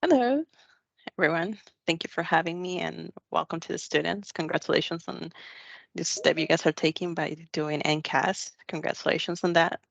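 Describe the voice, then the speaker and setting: A woman's voice: fairly low pitch, normal speed, creaky, no echo. A young woman introducing herself as a student intern on a video call, hesitant, with many fillers. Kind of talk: self-introduction on a panel. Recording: dull, dry video call audio.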